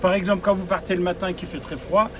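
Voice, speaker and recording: A man's voice: high-pitched, fairly even intonation, fast, crowd noise. Man explaining coach controls. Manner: practical, repeats advice, joking asides. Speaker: driving instructor presenting a coach. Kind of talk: explaining controls to a group of drivers. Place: inside a coach, outdoors nearby.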